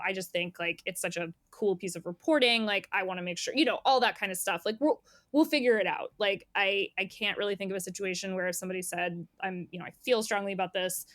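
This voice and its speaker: A woman talks as an editor in a relaxed interview. Her voice sits at a middle pitch in a very dry recording. She explains conversationally, slipping into imagined quotes. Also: fairly quick, no room sound. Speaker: magazine editor being interviewed.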